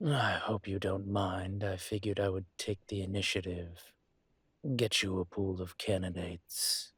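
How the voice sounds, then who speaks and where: A man voicing a calm, courteous dragon mafia boss in a roleplay audio, speaking in a husky, measured, polite way. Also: sits low, dry recording, exaggerated voice. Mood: courteous, businesslike. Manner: measured, polite, deliberate with pauses. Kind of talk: scripted roleplay audio monologue to listener.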